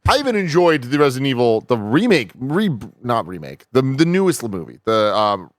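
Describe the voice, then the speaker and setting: A man's voice: mid-range voice, very animated, normal speed, dry recording, clean studio sound. A man chatting casually as a panelist, with slangy, sweary phrasing. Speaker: talk show panelist. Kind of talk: casual chat about films.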